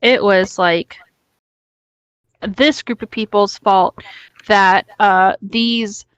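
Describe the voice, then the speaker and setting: A woman's voice: medium pitch, fairly even intonation, drawn-out words, a bit bright, clean tone, no echo, poor audio. A woman chatting casually and emphatically, giving her opinions in an informal way and swearing freely.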